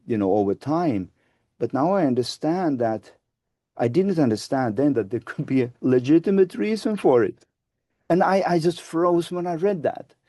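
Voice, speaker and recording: A Finnish man in his sixties with a Finnish accent, answering interview questions in a measured, hesitant way, seated in a studio on a lapel mic. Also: mid-range voice, dark tone, no room sound. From Finland. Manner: measured, hesitant restarts, gestures with both hands. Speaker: guest, advocacy group founder. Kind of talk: webinar interview. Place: studio with dark backdrop, seated.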